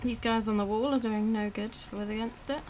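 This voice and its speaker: Woman gamer over loud game noise. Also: fairly high pitch, fairly even intonation, a little fast. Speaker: gamer commentating own play. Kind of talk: casual let's play commentary. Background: video game sounds.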